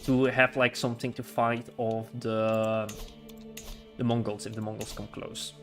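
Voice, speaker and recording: A man's voice: on the low side, flat delivery, unhurried, music in the background. A man streaming a strategy game live, chatting casually in a rambling way, laughing often and restarting his words. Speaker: livestreamer.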